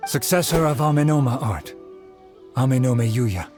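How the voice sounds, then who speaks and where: Male actor as earnest young swordsmith, mid pitch, formal, humble, resolute, video game, faint music. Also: animated, moderate pace, natural voice. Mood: respectful.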